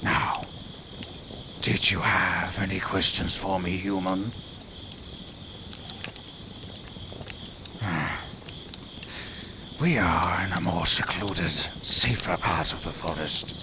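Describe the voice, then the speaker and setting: A man's voice: sits low, steady tone, slow, very noisy. A man voicing a haughty, sardonic lone werewolf in an audio roleplay, speaking to the listener in formal, condescending, theatrical phrases. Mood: guarded, smug. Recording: night forest ambience with crickets, clearly audible. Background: outdoor forest sounds, crickets.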